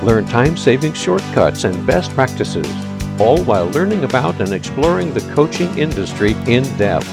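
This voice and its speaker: A man's voice: medium pitch, sing-song, moderate pace, gravelly. A man reading a scripted, upbeat promo introduction for a coaching show. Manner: upbeat, salesy delivery. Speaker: show announcer. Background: guitar music.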